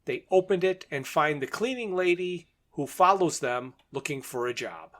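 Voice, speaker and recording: A man's voice: fairly high pitch, big pitch swings, normal speed, fairly bright tone. A man co-hosting a podcast, recapping and commenting on a story in an informal, orderly way. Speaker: podcast co-host. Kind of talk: plot recap in casual podcast talk.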